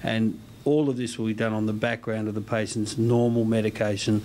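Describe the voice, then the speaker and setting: A man interviewed as a medical researcher, giving explanatory answers in a measured, slightly hesitant way. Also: on the low side, level tone, dark tone. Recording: slight background location noise.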